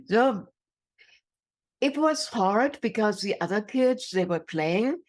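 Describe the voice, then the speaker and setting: A woman's voice: mid-range voice, lively intonation, slow. Female interview guest, 60s, German-accented English, emphatic storyteller who leans on repetition. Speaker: guest, inspirational speaker.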